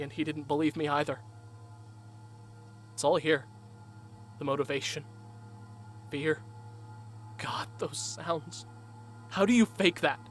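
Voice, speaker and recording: A man's voice: fairly high pitch, fairly bright tone, natural voice. A man playing a paranoid listener who confides his fears about strange tapes. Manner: uneasy, confiding monologue. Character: paranoid young man obsessed with alien tapes.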